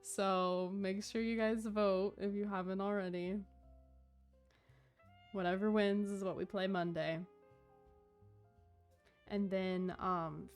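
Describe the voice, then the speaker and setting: A woman game streamer talking casually and informally to her viewers while she plays. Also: mid-range voice. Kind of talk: casual livestream chat while gaming. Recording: game music behind the voice. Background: game music.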